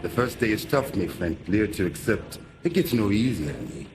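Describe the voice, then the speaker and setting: Weary camp prisoner, low voice and broken English, noisy game audio. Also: male voice, sing-song, normal speed. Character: weary male prison camp inmate, kind. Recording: game audio with clear background noise.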